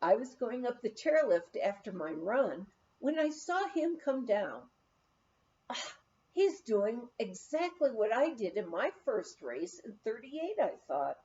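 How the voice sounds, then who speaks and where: Female audiobook reader for a memoir: animated intonation, slow expressive delivery, slightly muffled sound. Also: on the high side. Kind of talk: scripted narration of a humorous memoir, read aloud.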